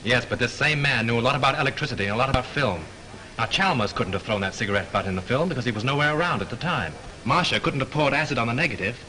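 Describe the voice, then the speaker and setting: A brisk bright-voiced mystery writer. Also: male voice, medium pitch, animated, slight background noise, poor audio. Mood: confident. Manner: brisk, confident explaining. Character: sharp young male mystery writer, sleuthing. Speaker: mystery writer turned sleuth. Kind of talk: 1930s film acting.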